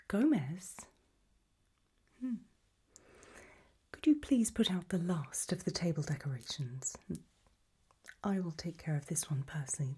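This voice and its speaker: A woman playing an elegant, macabre gothic matriarch hosting in a soft, breathy ASMR whisper, genteel and darkly droll. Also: low voice, full character voice. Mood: hushed, attentive.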